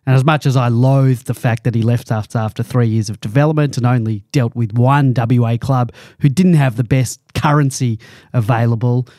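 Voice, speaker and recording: A man's voice: mid-range voice, fairly even intonation, moderate pace, mellow, dry recording, clean studio sound. An Australian man hosting a podcast in casual chat, laid-back and often chuckling. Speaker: podcast host.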